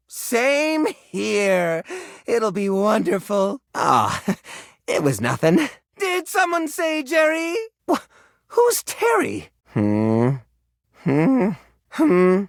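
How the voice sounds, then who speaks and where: A man voicing a cheerful, fussy, nerdy household junk drawer in a video game, with enthusiastic delivery and mild, squeaky-clean exclamations. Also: creaky, a bit bright, dry recording, studio quality, exaggerated voice. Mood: earnest, modest, then chatty, flirty. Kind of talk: animated video game character voice acting.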